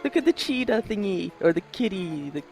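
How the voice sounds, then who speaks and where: A man's voice: sits high, big pitch swings, a little fast, darkish tone. A man co-commentating on a video game in a casual, chatty style. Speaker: let's play co-commentator. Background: game music.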